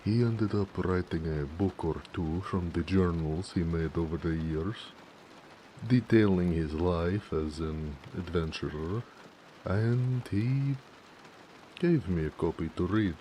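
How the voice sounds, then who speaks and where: A man voicing a gentle, bashful orc blacksmith who speaks hesitantly and affectionately in an intimate romance audio roleplay. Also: deep voice, slight background noise, rough recording. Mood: bashful, chatty. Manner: soft-hearted, hesitant, with pauses and hedging.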